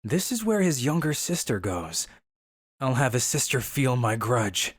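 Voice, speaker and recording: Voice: mid-range voice, big pitch swings, a little slow, husky. A performer voicing a brooding, cowardly teenage schoolboy who narrates a manga story in a melodramatic, scheming way. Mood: brooding, vengeful. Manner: melodramatic, sinister chuckling schemer.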